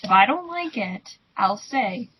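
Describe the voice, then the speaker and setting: A woman's voice: medium pitch, big pitch swings, measured pace, slight background noise. A woman giving casual, blunt, opinionated commentary through a dull lo-fi microphone.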